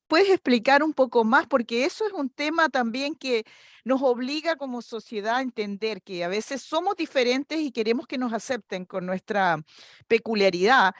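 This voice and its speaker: A woman's voice: on the high side, no room sound, studio quality. Cordial Chilean woman hosting. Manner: cordial and thoughtful, asks long questions. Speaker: host. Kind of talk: interview questions.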